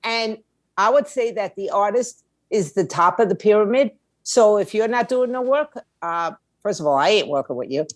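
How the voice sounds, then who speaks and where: Middle-aged female podcast guest, mid-range pitch. Straight-talking, emphatic, street-smart advice, very dry sound. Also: expressive, normal speed, no echo. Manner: blunt, streetwise, emphatic with rhetorical questions. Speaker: podcast guest, music attorney and indie label owner. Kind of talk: conversational advice in an interview.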